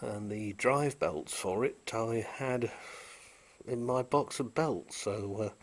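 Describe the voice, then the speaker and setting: A man giving an unhurried, technical show-and-tell of an old tape recorder he repaired, pausing often with "uh" as he points out its features. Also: fairly low pitch. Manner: unhurried, technical, with frequent "uh" pauses. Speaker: vintage electronics restorer. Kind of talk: casual show-and-tell demonstration.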